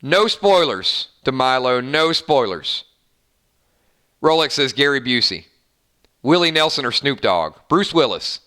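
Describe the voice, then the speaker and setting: A man's voice: medium pitch, big pitch swings, a little slow, sharp tone. A man hosting a casual fan live stream, chatting and reading out viewer comments in an upbeat, rambling way. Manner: chatty, upbeat, lots of fillers and restarts.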